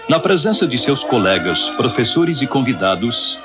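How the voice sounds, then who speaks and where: A man's voice: medium pitch, very animated, moderate pace. A man reading scripted narration as a radio drama announcer. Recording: music behind announcer.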